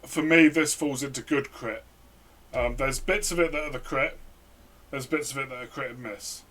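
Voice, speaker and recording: A man's voice: medium pitch, moderate pace, bright, forceful tone, far from the mic. A man co-hosting a casual game review, speaking hesitantly with fillers as he wraps up the show. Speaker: co-host.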